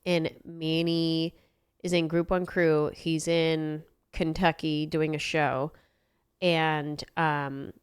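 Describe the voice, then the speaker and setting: A woman's voice: low voice, flat delivery, slow pace, clear, resonant tone, dry recording. A congested woman hosting a podcast in a studio, chatting casually and telling stories with wry, self-mocking asides. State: congested with a cold. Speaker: podcast host. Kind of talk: casual chat.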